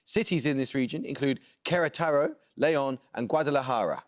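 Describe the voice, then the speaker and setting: A man hosting a television quiz, reading out questions and rulings in a brisk, formal, precise manner. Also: mid-range voice, heavy background noise. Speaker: quizmaster.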